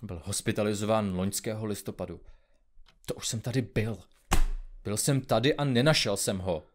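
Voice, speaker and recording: A man's voice: on the low side, close to an everyday voice. An actor playing a brooding, exasperated male detective in an audio drama, muttering as he goes. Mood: tense, frustrated. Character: brooding male detective searching for his lost brother.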